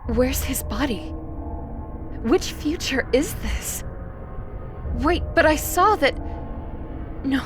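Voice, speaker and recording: A woman playing a distraught, bewildered young goddess in an audio drama, speaking in a hushed, halting, questioning way. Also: high-pitched, lively intonation, music in the background. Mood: shaken.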